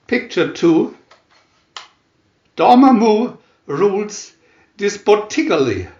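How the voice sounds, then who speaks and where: A man reading comic book captions as a dramatic narrator, announcer-like, with long pauses between phrases. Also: sits high, sing-song, a little mellow, echoey room, muffled recording. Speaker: narrator reading View-Master booklet captions.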